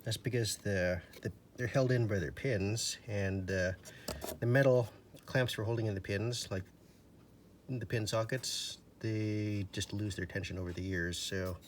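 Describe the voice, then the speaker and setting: A man with a fairly low voice talks through a hands-on job in a casual, matter-of-fact way. He takes his time and sometimes trails off. Clear background noise and small handling clicks sit behind him. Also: fairly even intonation, a little slow. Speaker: radio repairer demonstrating. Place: indoors at a table.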